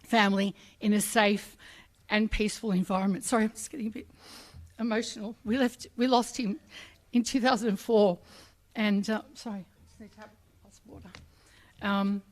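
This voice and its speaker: A woman's voice: mid-range voice, fairly even intonation, normal speed, pauses often. A middle-aged Australian woman, raised speaking Arabic, giving a chatty, emotional personal speech at a public ceremony, acting out voices in her stories.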